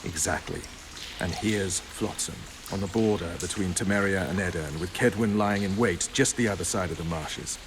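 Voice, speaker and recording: Male actor as gruff soldier-commander, low pitch, cynical, blunt, sardonic, video game drama, faint rain behind. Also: normal speed, background noise, close to an everyday voice. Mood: grim, wry. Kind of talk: video game cutscene acting.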